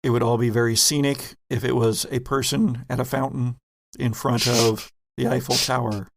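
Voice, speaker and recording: A man's voice: mid-range voice, level tone, moderate pace, creaky, a little hiss or hum. A man narrating a horror sci-fi tabletop game as game master, with wry, vivid description.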